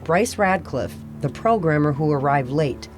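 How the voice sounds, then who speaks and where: A woman playing the composed narrator of an audio theater mystery, telling the story in a measured, scripted way. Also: deep voice, animated, music in the background.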